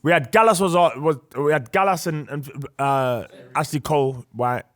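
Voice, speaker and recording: Man, somewhat high pitch, casual football chat. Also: normal speed. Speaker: football show panellist.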